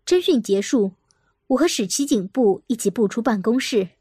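An actress playing a troubled woman seeking revenge, narrating an audio drama in a deliberate, introspective way. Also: on the high side, animated, dark tone, slightly rough, dry recording, studio quality. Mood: brooding, unsettled.

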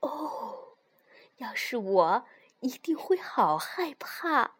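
A woman voicing a gentle, doting, easily worried mother fox in a storybook, speaking softly and expressively. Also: fairly high pitch, very slow, slightly rough, exaggerated voice. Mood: anxious, tender. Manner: expressive, coaxing.

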